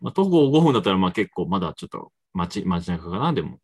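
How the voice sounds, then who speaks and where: A male host chats casually in a low, rough-edged voice. His intonation swings widely as he questions and teases his co-hosts. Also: very animated, quick pace, a little mellow. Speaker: radio show host. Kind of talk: casual chat.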